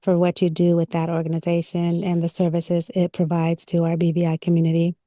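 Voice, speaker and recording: Mellow woman hosting, dry close sound. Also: on the low side, completely flat delivery, a little fast, no echo. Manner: affirming, effusive. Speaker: interview show co-host. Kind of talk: casual interview hosting.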